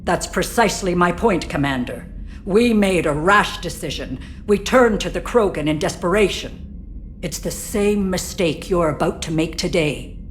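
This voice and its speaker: A woman acts out an imperious alien stateswoman for a cinematic game. Her voice sits fairly low and she speaks with bitter disdain, as one used to being obeyed. Music plays behind her. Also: measured pace, lightly acted. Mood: haughty, scornful. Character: haughty, bitter alien female political leader. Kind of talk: cinematic video game acting.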